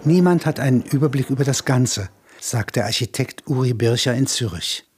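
A man with a husky voice narrating a scripted radio play. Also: mid-range voice, normal speed, a little mellow, a little hiss or hum.

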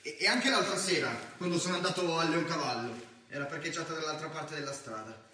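A man's voice: on the high side, a little fast, pushed and bright. An actor playing an anxious, streetwise teenage boy in an emphatic, colloquial theatrical monologue. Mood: uneasy, brooding. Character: anxious, restless teenage boy, streetwise student narrating his evening. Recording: echoey theatre stage from far off.

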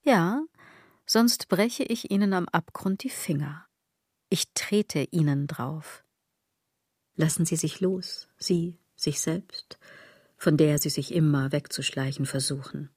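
An actress playing a musing, sardonic woman in a literary radio drama, speaking in a deliberate, declamatory way with phrases falling toward a whisper. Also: low voice, a little mellow, slightly rough, dry recording, studio quality, heavily acted. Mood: coldly taunting. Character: musing, sardonic female speaker addressing the listener directly.

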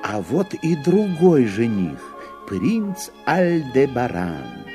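A man narrates a fairy tale in a medium-pitched voice. His scripted delivery is slow and richly varied in tone. Violin music plays clearly behind him. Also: huge pitch swings, warm tone.

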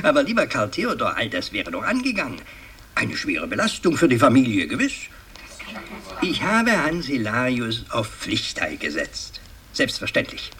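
An actor playing a stern, fussy older aristocratic patriarch who speaks formally and haltingly in a German radio drama. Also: male voice, mid-range voice, wildly swooping pitch, bright, forceful tone, recorded from a distance, slight background noise. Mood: exasperated, indignant. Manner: formal, pompous, halting with hums and pedantic repeats. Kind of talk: radio drama monologue, addressing a family meeting.

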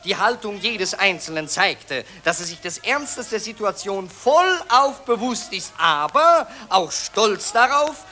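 A man's voice: high-pitched, animated, takes it slowly, few pauses, bright, forceful tone, full character voice. An actor playing a pompous male newspaper writer who declaims in a grand, swelling manner. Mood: grandiloquent. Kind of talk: 1960s radio drama acting.

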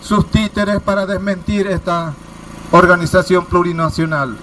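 Impassioned high-voiced man giving a protest speech. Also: fairly even intonation, a little slow, heavy background noise. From Formosa province, Argentina. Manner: impassioned, rhetorical questions, raised voice.

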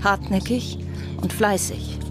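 A woman's voice: medium pitch, fairly even intonation, takes it slowly, music in the background, close to an everyday voice. A woman narrating a German radio crime drama in a composed, scripted style.

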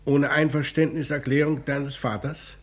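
An actor playing a stern, sardonic, paternal police inspector in a 1950s German radio drama, with an authoritative, wry manner. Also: male voice, on the high side, little pitch movement, normal speed, close to an everyday voice. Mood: stern questioning.

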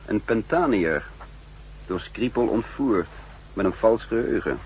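Low-voiced, commanding spymaster. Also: male voice, lively intonation, a little slow. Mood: calm, confiding. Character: cool, commanding male spymaster turned double agent. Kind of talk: 1960s Dutch radio drama acting. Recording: noisy 1960s radio drama recording.

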